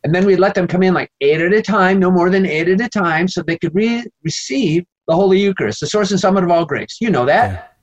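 Emphatic American man, slightly high voice. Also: animated, fairly quick, highs cut off. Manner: emphatic, indignant, rambling with asides. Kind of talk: animated conversational interview answers.

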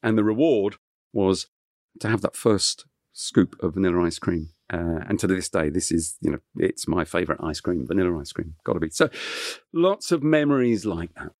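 A man's voice: deep voice, expressive, moderate pace, darkish tone, no room sound, studio quality. A middle-aged man from Kent whose first language is French, telling long stories as an interview guest with many fillers and restarts. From England (Kent). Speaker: chef guest. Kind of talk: relaxed interview storytelling.

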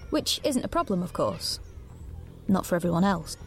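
An actress playing an anxious, watchful thirteen-year-old in a dystopian audio drama, earnest and hesitant. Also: female voice, lowish voice, sing-song, moderate pace, slight background noise. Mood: tense, haunted. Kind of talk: first-person audio drama narration and dialogue.

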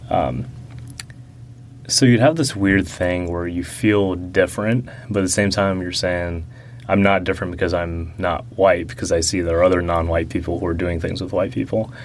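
A young man answering interview questions about growing up, talking slowly and reflectively with many fillers and restarts. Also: low voice, fairly even intonation, a little hiss or hum.

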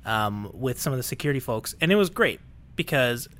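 A man's voice: mid-range voice, animated, fairly quick, fairly bright tone. A man co-hosting a workplace podcast, chatty and joking in a self-deprecating way. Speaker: podcast co-host. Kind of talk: casual podcast chat.

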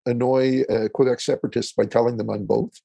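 A man from Eastern Ontario whose first language is French, answering interview questions as a consultant in a halting way, with many fillers and restarts. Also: mid-range voice, flat delivery, creaky, dark tone, husky, no room sound. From Eastern Ontario, Canada. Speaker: guest, consultant.

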